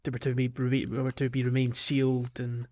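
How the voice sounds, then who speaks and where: A man's voice: medium pitch, completely flat delivery, brisk, darkish tone. A man co-hosting a podcast, chatting casually about a video game in a hesitant, rambling way. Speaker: podcast co-host.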